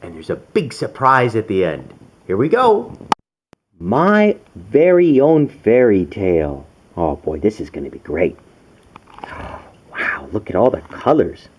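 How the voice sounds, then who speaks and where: A man's voice: lowish voice, big pitch swings, a little slow, slight background noise. A man reading a children's storybook to his young daughter as her father, with exaggerated wonder. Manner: exaggerated wonder, gasps and coos.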